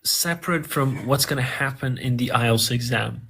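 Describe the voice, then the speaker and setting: A man's voice: medium pitch, moderate pace, vocal fry, fairly bright tone, slightly rough. A man whose first language is Farsi, answering interview questions in English in a hesitant, conversational way with frequent fillers and repeated words.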